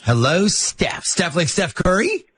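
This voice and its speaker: A male radio host bantering casually with a caller. His voice is on the high side for a man and husky, swinging in pitch as he playfully prods with questions. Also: huge pitch swings, unhurried, a bit bright, no room sound.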